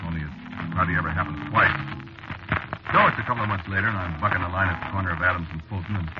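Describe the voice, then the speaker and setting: Man acting a jittery, low-voiced American cabbie. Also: racing, music in the background, close to an everyday voice. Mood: uneasy recounting. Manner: colloquial, slangy, hesitant storytelling. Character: streetwise, jittery working-class American cab driver telling his story. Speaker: narrator and lead character. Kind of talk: 1940s radio drama acting, first-person narration. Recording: noisy, muffled old radio broadcast recording.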